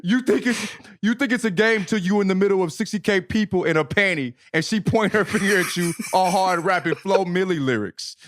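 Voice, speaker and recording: An American man in his thirties co-hosting a podcast with casual comic banter, animated, slangy and profane. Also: high voice, brisk. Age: 30s. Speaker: podcast co-host. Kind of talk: casual comedic banter and mock-dramatic reading.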